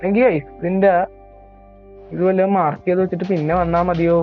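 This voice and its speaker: Chatty Malayali gamer on a tinny mic. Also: male voice, steady tone, a little fast, soft background music. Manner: chatty and self-mocking, admits he scares easily. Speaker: gaming YouTuber. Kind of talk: casual let's-play commentary. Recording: tinny, low-quality mic.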